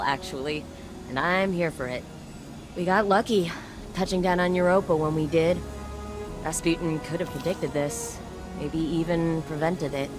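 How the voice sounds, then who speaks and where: A woman's voice: on the low side, lightly acted. Actress as driven woman scientist, casual, wry, encouraging, video game briefing, music underneath. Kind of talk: scripted video game dialogue. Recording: music behind the voice.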